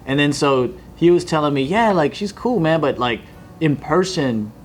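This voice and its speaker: A man's voice: on the high side, expressive, normal speed, soft background music. A man in his thirties telling a story in casual group chat on a podcast, laid-back, with many fillers. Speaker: podcast co-host.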